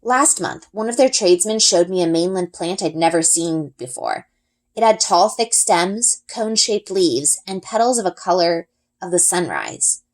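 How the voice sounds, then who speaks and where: A woman narrating a children's book as an expressive read-aloud. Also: lowish voice, moderate pace. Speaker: story reader.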